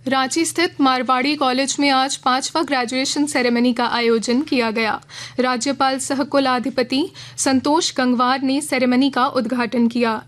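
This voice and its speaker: A woman reading a regional radio news bulletin in a measured, formal way from a studio. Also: high-pitched. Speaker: news reader.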